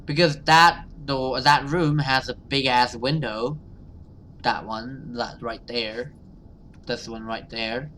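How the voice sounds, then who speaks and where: Bright-voiced man doing casual gaming commentary. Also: mid-range voice, fairly even intonation, a little hiss or hum. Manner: halting, repeats himself, exclaims and swears. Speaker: gaming video host. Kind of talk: casual let's play commentary, reading game text aloud.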